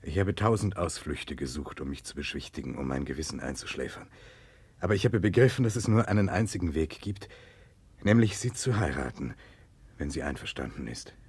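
An actor playing an earnest, remorseful Russian prince in a 1960s German radio drama, speaking gravely and deliberately. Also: male voice, a bass voice, expressive, a little mellow, dry recording, full character voice. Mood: resolute. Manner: grave, deliberate, insistent confession.

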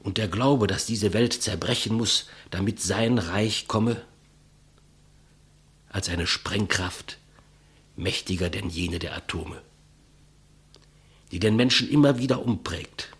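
An actor playing a humble, gentle executioner in a 1950s German radio play, speaking with hushed reverence in a husky, breathy voice. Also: male voice, fairly low pitch, full character voice. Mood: solemn, fervent. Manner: slow, reverent, deferential, hushed. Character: humble, gentle executioner, calm servant of the rulers.

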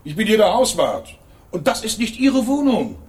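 An actor playing a hostile, bigoted male building caretaker in a radio drama, gruff and contemptuous. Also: high-pitched, very animated, moderate pace. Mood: scolding. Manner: gruff, contemptuous, bursts into shouting.

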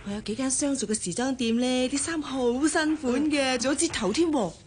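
An actress playing a chatty, vain, gossipy young office secretary in a radio drama, speaking Hong Kong Cantonese with English words dropped in. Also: female voice, sits high, fairly quick, a little hiss or hum, natural voice. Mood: breezy, gushing.

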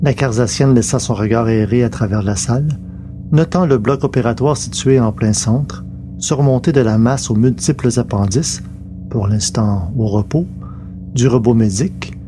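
Male narrator, medium pitch, scripted storytelling, strong musical backing. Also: moderate pace. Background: loud music.

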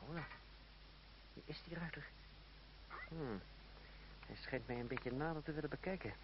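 A man's voice: on the high side, normal speed, natural voice. Man acting a gallant fugitive orator, dull noisy recording. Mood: musing to himself. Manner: courtly, wry, declamatory. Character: bold young fugitive orator, ironic, gallant, proud. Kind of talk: old Dutch radio drama acting, theatrical, formal diction. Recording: dull, noisy old radio play recording.

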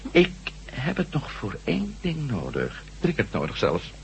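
A man's voice: medium pitch, wildly swooping pitch, unhurried, vocal fry, a little hiss or hum, full character voice. A man playing a weary, wry, commanding ex-astronaut adventurer in a radio drama, brusque and sardonic. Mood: wry, teasing.

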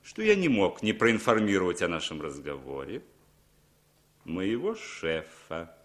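An actor playing a smooth, sly foreign businessman in radio drama, insinuating and theatrical. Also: low voice, a little slow, heavily acted. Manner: suave, insinuating, theatrically expressive. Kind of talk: 1960s radio drama acting.

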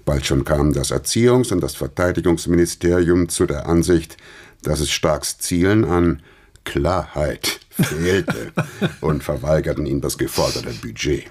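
A man with a husky voice giving sober, measured historical narration in a scripted radio play. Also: extremely low pitch, sing-song, a little mellow.

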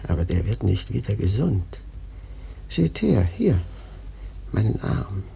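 An actor playing a ragged, half-mad sailor prophet in a radio play, raspy and creaky, taunting and cryptic. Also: male voice, fairly low pitch, big pitch swings, takes it slowly, warm tone, full character voice. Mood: bitter, agitated. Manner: taunting, cryptic, erratic muttering. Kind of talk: German radio play acting.

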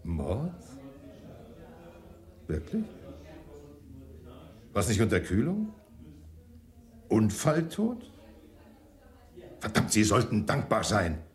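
An actor playing a smug, jovial, cynical schemer, theatrical and goading, in a German radio crime play. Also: male voice, fairly low pitch, huge pitch swings, very slow, long pauses, full character voice. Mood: smug, needling.

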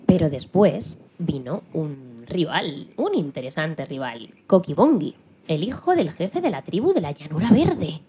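A woman from narrating a dramatised children's story in an expressive, playful way. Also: sits low, unhurried, a little hiss or hum. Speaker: storyteller, programme presenter.

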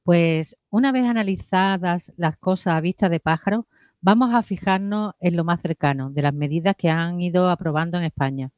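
A woman's voice: lowish voice, normal speed, darkish tone, dry recording, clean studio sound. A woman co-hosting a community radio show, introducing segments. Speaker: radio co-host.

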